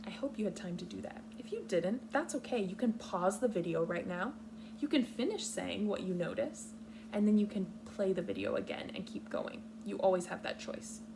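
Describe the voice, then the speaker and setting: Creaky-voiced woman teaching young children. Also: medium pitch, animated, background noise. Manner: patient, instructional, simple deliberate phrasing. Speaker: kindergarten teacher reading a picture book aloud. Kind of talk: read-aloud lesson for young children.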